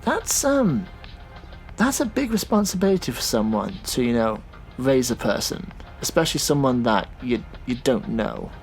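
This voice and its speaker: A man playing a thoughtful, sceptical taxi driver in a naturalistic audio drama, talking in a casual, halting way. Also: medium pitch, big pitch swings, faint music behind. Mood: casual, awkward.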